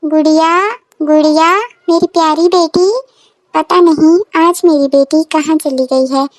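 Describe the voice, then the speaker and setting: Woman playing high-voiced anxious loving mother. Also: fairly even intonation, a little slow, dry recording. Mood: worried, searching. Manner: fretful, tender, repetitive calling. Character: anxious, loving, gently scolding village mother. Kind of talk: children's cartoon story acting.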